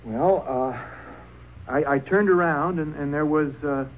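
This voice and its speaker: An actor playing a nervous young man giving halting, stammering, deferential testimony in an old-time radio drama. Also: fairly high pitch. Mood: nervous, unsure. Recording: tinny, noisy old radio broadcast.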